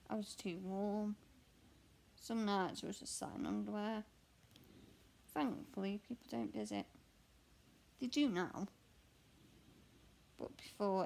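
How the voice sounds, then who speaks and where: Slow, croaky young woman. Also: mid-range voice, a little mellow, a little hiss or hum. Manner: chatty, rambling, candid. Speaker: cross stitch vlogger and mother. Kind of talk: casual chat answering a question tag while stitching. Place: at home.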